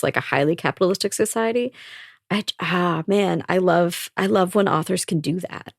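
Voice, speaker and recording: Gravelly-voiced woman gushing about a book. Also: lowish voice, dry recording, studio quality. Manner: enthusiastic, swears for emphasis. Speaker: podcast co-host. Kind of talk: casual book discussion.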